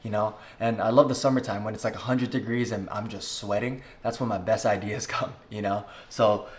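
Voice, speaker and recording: A man's voice: lowish voice, fairly even intonation, a little fast, a little hiss or hum. A young man from Hawaii vlogging unscripted, rambling earnestly with lots of "you know" and "like". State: jet lagged. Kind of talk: unscripted casual vlog talk.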